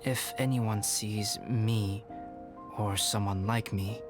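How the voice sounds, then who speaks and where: A man voicing a calm, reserved young alchemist in a video game, speaking in a measured, formal, contemplative way. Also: fairly low pitch. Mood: composed, courteous. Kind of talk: scripted video game character acting. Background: music.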